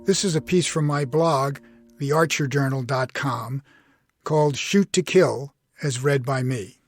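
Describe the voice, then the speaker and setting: A man's voice: medium pitch, unhurried, faint music behind. A man reading his own blog essay as scripted narration.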